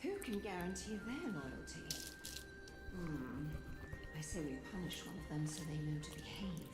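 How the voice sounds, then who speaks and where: A ruthless, impatient game conspirator. Her mid-range voice swings widely with suspicion, echoing over loud music. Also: low voice, sing-song, unhurried. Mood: impatient, suspicious. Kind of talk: video game voice acting.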